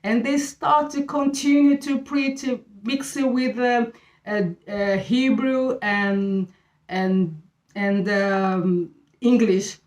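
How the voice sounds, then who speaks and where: A middle-aged woman from Brazil with a Brazilian Portuguese accent, hosting her channel and sharing a faith talk in halting English, often pausing with fillers as she searches for words. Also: fairly high pitch, fairly even intonation, a little mellow. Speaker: channel host. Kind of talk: casual faith talk to camera. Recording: echoey room.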